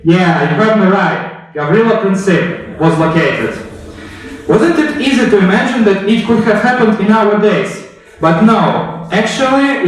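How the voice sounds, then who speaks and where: A man giving a storytelling speech as a public speaker, narrating history dramatically in the second person and putting rhetorical questions to his listeners. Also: a little high. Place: echoey indoor room, recorded from a distance. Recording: very echoey room, distant microphone.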